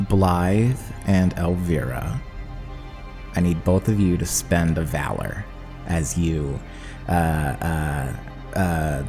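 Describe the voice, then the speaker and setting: A man's voice: sits low, darkish tone, big-room sound. A man running a tabletop roleplaying game as game master, narrating in a dramatic, descriptive way.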